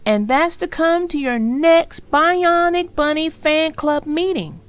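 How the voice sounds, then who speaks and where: A woman voicing an excitable, bossy little preschool girl for a storybook, with an emphatic, insistent manner. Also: high voice, slow pace, voice pushed up. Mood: firm, insistent.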